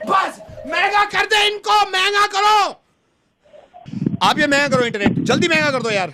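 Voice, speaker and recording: Man playing a high-pitched, irate customer. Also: unhurried, crowd noise, noisy background. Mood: frantic pleading. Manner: insistent, repetitive, exasperated. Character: irate older customer complaining about cheap internet. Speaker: radio prank caller. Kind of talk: comic prank phone call.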